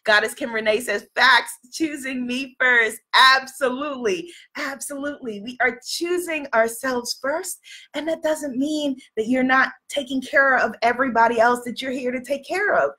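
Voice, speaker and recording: A woman's voice: high voice, normal speed, pushed and bright. A woman hosting a live stream as a spiritual coach, reading out viewer comments and leading affirmations while sniffling from a cold. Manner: encouraging, repeats affirmations, reads out viewer comments. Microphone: live video stream.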